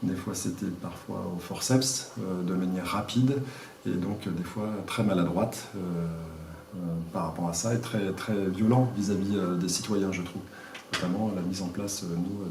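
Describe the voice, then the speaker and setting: A man answering interview questions as a village mayor, speaking informally and haltingly as he searches for words. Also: low voice, steady tone, vocal fry, a little mellow. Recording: echoey room, distant mic, faint noise.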